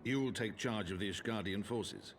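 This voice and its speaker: A man's voice: lowish voice, moderate pace, faint music behind, close to an everyday voice. An actor playing a dignified older lord and proud father in a video game, formal and courtly. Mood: gracious. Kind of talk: scripted video game cutscene acting.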